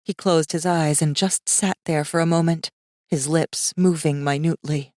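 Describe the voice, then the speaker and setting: A woman narrating a fiction audiobook in an expressive, scripted storytelling style. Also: deep voice, moderate pace, no room sound, clean studio sound.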